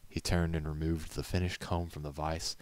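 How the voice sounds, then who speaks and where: A man's voice: a bass voice, flat delivery, moderate pace, darkish tone, no echo. A man reading a story aloud as scripted storybook narration. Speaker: amateur story reader.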